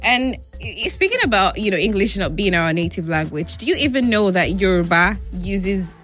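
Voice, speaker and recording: A woman's voice: mid-range voice, lively intonation, moderate pace, fairly bright tone. Young woman on the radio, playful chatty cohost, music going faintly behind. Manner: playful, laughs often. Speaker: radio co-host. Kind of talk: radio show banter.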